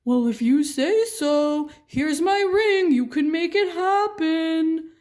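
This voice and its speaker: A woman's voice: very high voice, animated, slow pace, darkish tone, pitched above the performer's own voice, heavily acted. A woman voicing a doting, generous, easily led king in a children's puppet show, with an effusive manner. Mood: agreeable.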